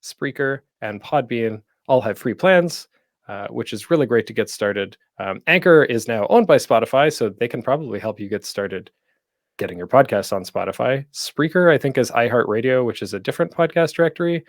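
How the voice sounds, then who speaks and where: A man's voice: mid-range voice, expressive, moderate pace, no room sound, clean studio sound. A man presenting an instructional panel in a casual, informal way, often breaking off into asides. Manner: informal, self-interrupting, tangential asides.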